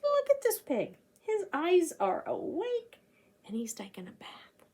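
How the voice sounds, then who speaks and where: A middle-aged woman reading aloud to young children like a teacher, sing-song and animated, often breathy, stretching key words and putting questions to her listeners. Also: very high-pitched, measured pace. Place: small indoor room, seated by a door.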